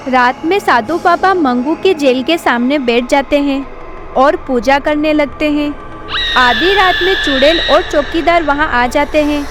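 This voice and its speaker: A woman's voice: high-pitched, unhurried, noisy background. A woman narrating a scripted horror story. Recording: background music.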